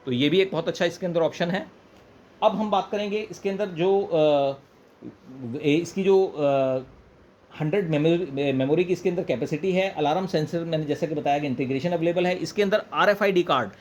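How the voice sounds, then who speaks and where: A man's voice: a little high, normal speed, a little mellow, highs cut off. An Indian man presenting an informal product demonstration, explaining step by step, repeating points and mixing in English phrases. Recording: clear background noise, room ambience.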